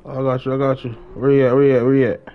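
A man's voice: mid-range voice, little pitch movement, racing. A young adult man chatting casually on a gaming livestream, slangy, often repeating his phrases. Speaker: live game streamer. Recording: dull lo-fi stream audio.

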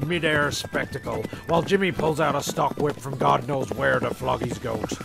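A man's voice: medium pitch, normal speed, vocal fry, music in the background. A man delivering scripted comic narration in a dry, mock-dramatic, crude way. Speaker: narrator of a comedy sketch series.

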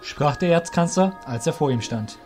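A man narrating a scripted audio drama as storyteller, in a measured, literary reading manner. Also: on the high side, expressive, darkish tone, faint music behind.

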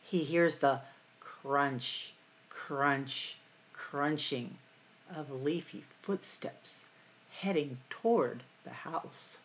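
Slow-paced woman reading to children. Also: sits low, slight background noise. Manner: deliberate, expressive storytelling for young children. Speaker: library storytime host. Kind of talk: children's picture-book read-aloud. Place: small room at home or office, indoors.

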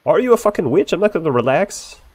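A man improvising in-character game roleplay, swearing and ranting in an excitable way. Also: on the high side, fast talker, no echo. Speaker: roleplayer playing a bar owner.

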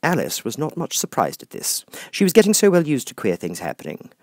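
A man narrates a storybook with a lively, varied intonation. His voice is mellow and he takes his time, in a dry, close-sounding recording. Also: on the high side, fairly quick, no room sound. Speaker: audiobook narrator. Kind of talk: expressive scripted storybook narration.

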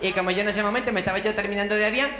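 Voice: mid-range voice, steady tone, very fast, bright, forceful tone, lightly acted. A performer playing a middle-aged woman phoning in who rambles and repeats herself in muddled loops. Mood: rambling. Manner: rambling, repeats and mixes up her own words. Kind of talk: comedy sketch phone call. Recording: echoey and noisy.

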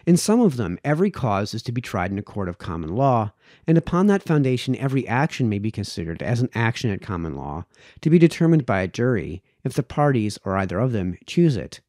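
Mellow man reading aloud, lively pitch. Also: a little fast, no echo, clean studio sound. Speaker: reader, host of a read-aloud series. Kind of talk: reading aloud from a book of formal essays. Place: small home office, indoors. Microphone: close boom-arm podcast microphone, headphones.

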